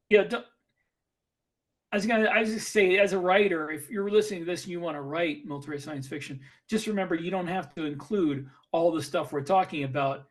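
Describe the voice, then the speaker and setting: A man's voice: a little high, fairly bright tone. A man in a casual podcast discussion, explaining things and giving advice in a conversational way. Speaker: podcast host and author.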